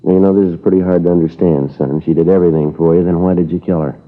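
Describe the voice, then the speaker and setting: An actor playing a stern, dogged middle-aged police sergeant, clipped and deadpan, in a 1950s radio crime drama. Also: male voice, low voice, fast, lightly acted. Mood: probing. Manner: clipped, deadpan, matter-of-fact. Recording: muffled old 1950s radio recording.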